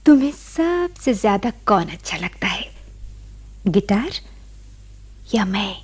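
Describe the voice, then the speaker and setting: An actress playing a devoted, anxious wife in a Hindi radio play, soft, solicitous and emotive. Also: female voice, mid-range voice, very animated, slow pace, slightly rough, heavily acted. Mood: coy, tender. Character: devoted, anxious young wife Daisy of a sick man.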